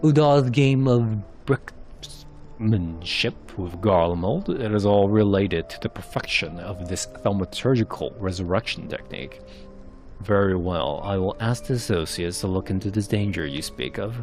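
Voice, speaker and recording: Man voicing a low, grave fantasy bard, music behind. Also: a little mellow. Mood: grave, deliberate. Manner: measured, formal archaic phrasing. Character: suave, secretive male bard, grave and watchful. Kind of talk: amateur narrated fantasy game cutscenes.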